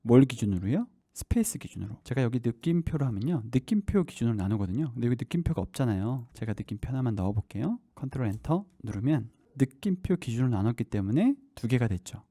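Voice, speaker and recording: A man's voice: mid-range voice, very animated, quick pace, hardly any pauses, dark tone, dry recording. A man in his thirties teaching a programming lesson step by step, often asking the listener questions as he types. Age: 30s. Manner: explains step by step while typing, asks the listener questions. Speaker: coding instructor. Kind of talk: screen-recorded programming tutorial.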